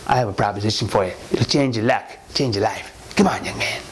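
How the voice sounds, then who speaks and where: A man's voice: fairly low pitch, fairly quick, slight background noise, close to an everyday voice. A man voicing a sly, teasing old neighbour with a shady offer in a told story. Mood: coaxing. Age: older.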